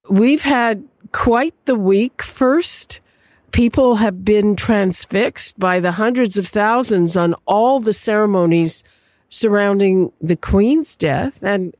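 A woman radio host, asking measured, deliberate interview questions. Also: medium pitch, darkish tone, dry recording, studio quality.

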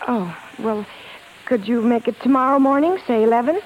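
An actress playing a proud, lovestruck, bossy singing star in 1950s radio drama, imperious yet easily flustered. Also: female voice, on the high side, close to an everyday voice. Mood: anxious, hesitant. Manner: imperious yet flustered, halting when nervous. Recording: hissy, muffled 1950s radio broadcast.

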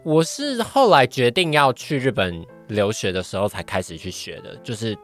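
Animated young man, Taiwanese Mandarin accent. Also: medium pitch, normal speed, soft background music. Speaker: podcast guest, trainee voice actor. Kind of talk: casual interview chat.

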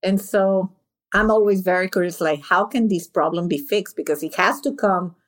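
A woman's voice: fairly low pitch, normal speed. A woman host from Venezuela, asking questions in a curious, reflective way. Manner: curious, reflective, restarts phrases. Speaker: podcast host. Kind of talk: conversational interview.

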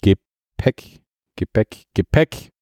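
A man's voice: slow pace, mellow, no echo, clean studio sound. A man with an Austrian accent hosting a podcast in casual, rambling, jokey chat. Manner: jokey, self-mocking. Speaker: podcast host.

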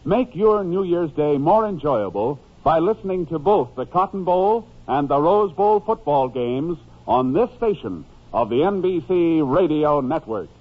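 Dull vintage broadcast, high-voiced American announcer. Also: male voice, very animated, unhurried, few pauses, breathy edge. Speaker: radio announcer. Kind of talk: 1950s radio announcing, scripted. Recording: dull old radio broadcast, music behind.

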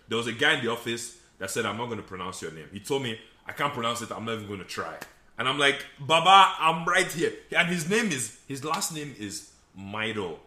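A man from Nigeria chatting casually with friends, his voice bright and sharp-edged and his pitch swinging widely as he tells animated stories. He laughs often and acts out what other people said. The room is roomy and echoey. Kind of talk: casual chat, storytelling.

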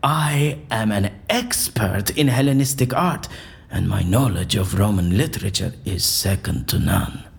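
An actor playing an eccentric, erudite man in an audio drama, speaking in a florid, pompous and animated way. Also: lowish voice, unhurried, gravelly. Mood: chatty, whimsical. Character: eccentric, theatrical, erudite man, convinced his interrogation is staged.

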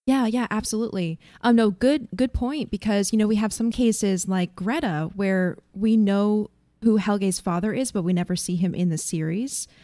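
Steady woman co-host leading the recap. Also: mid-range voice, moderate pace, no echo, clean studio sound. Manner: organized, steers the topic, careful recap. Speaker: podcast co-host. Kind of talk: casual chat.

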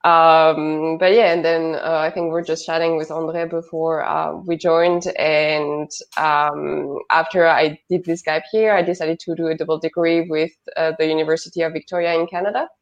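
A woman's voice: low voice, flat delivery, normal speed, few pauses, muffled recording. A young woman with a French accent, a guest in an interview, talking with many fillers and restarts. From northern France. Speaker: guest, product manager. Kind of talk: interview conversation.

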